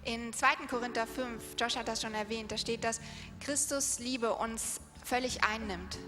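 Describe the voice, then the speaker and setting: Female stage interpreter, higher register, big echoing hall with music underneath. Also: steady tone, normal speed. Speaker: live interpreter. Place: large event hall. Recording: hall reverb, music behind, live PA.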